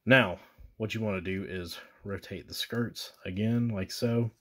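Man with a very low voice, relaxed toy-review host walking through steps at a tabletop, off-camera mic. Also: unhurried. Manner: casual, talks viewers through steps with fillers and self-corrections. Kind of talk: casual hands-on toy demonstration. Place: indoors at a table with a posterboard backdrop.

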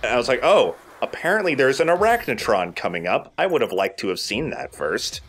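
A man's voice: medium pitch, sing-song, a little fast, fairly bright tone. A man giving casual game commentary as a let's play commentator. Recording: game noise behind voice. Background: game ambience.

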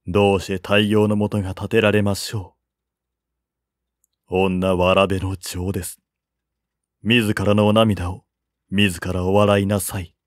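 A man voicing a calm, stern royal strategist in a storybook, quietly admonishing in measured, formal words. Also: lowish voice, dry recording, studio quality, pitched below the performer's own voice, natural voice. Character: calm, stern male strategist counselling his king.

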